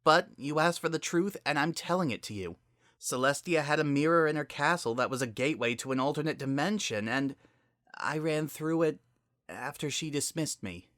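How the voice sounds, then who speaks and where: A man acts out a guilty younger sister, a female unicorn pony in a storybook drama. The voice sits high and tense, blunt and defensive with plenty of emotion. The recording is dry. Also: normal speed, a bit bright, dry recording, natural voice.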